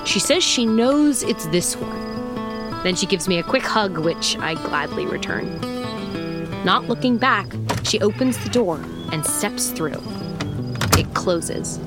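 A woman's voice: mid-range voice, expressive, slow pace, loud music behind the voice. An actress playing a wry, tough female private eye who narrates casually in a mystery audio drama. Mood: wry narration.

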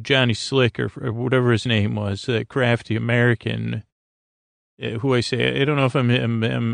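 A man with a very creaky, gravelly voice, recorded close and dry. He speaks as a podcast host lulling listeners to sleep, hesitating, stammering and drifting off into soothing, aimless tangents. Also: mid-range voice, no echo. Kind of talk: rambling, meandering bedtime monologue to help listeners sleep.

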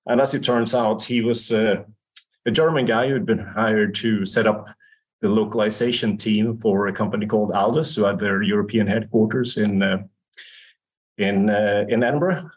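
A man's voice: fairly low pitch, fairly even intonation, normal speed, slightly rough, low-quality recording. A Swedish man in his sixties, a native Swedish speaker, telling his story in an interview with many fillers, restarts and laughs. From Sweden. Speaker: guest, company founder. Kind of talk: casual interview talk.

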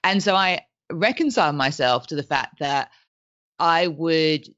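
A woman's voice: low voice, measured pace, a bit bright, dry recording, dull audio. A woman from Australia, a podcast guest speaking candidly and reflectively about herself, with a self-deprecating manner. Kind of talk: conversational interview.

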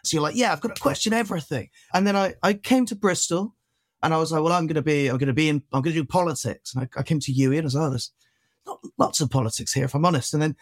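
A young man from Wales with only a faint Welsh accent, talking fast as an interview guest. His voice sits a little high, and he rambles through fillers and self-corrections. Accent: slight Welsh. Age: 20s. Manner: chatty, rambling, full of fillers and restarts. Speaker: guest activist. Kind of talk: casual interview chat.

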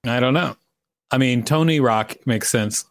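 A man's voice: medium pitch, a little slow, dry recording. A man co-hosting a podcast, reading an article aloud and chatting casually. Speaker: podcast co-host.

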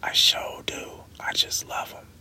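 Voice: measured pace, pushed and bright. A reader voicing a brash, bawdy young woman, whispered throughout. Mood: teasing. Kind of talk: whispered audiobook reading.

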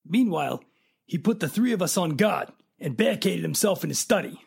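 Guy doing a raspy radio gumshoe, defensive and menacing, voice jumps around a lot. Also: sits high, animated, a little fast, darkish tone, heavily acted. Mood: uneasy, explaining. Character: menacing, defensive male private detective with a gun. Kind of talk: old-time radio crime drama acting.

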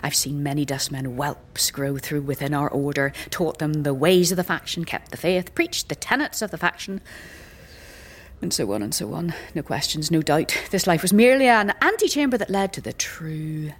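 A woman as an aged female cynic, slightly low pitch, blunt archaic slang, weary wit, fantasy radio play. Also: expressive, moderate pace, slightly rough. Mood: weary, wry. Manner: wry chuckles, blunt archaic slang, addresses listener as boy. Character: very old striking woman, sardonic, weary, doubting death-cult priestess. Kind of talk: fantasy audio drama dialogue.

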